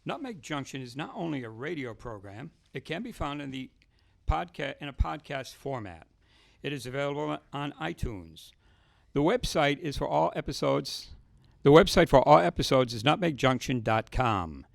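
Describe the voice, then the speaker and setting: A man announces a radio show from a script. His voice is mid-pitched and gravelly, with lively intonation, recorded dry and close. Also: moderate pace, no room sound.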